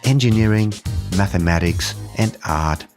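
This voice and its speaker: A man hosts a podcast in a lower voice, opening with an emphatic scripted intro before easing into loose chat full of fillers. Music is clearly heard behind him. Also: measured pace. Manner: reads intro in a lively, emphatic way, then chats with fillers. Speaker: podcast host.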